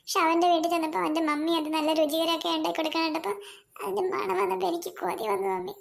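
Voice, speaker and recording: A woman's voice: very high-pitched, level tone, racing, vocal fry, pitched above the performer's own voice. A woman voicing a young boy who whines and pleads with his mother. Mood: sorry, pleading. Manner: whiny, pleading. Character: young boy, greedy and sulky, easily sorry.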